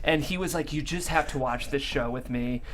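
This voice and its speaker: Quick-talking man, casual panel host. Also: a little high, slight background noise, poor audio.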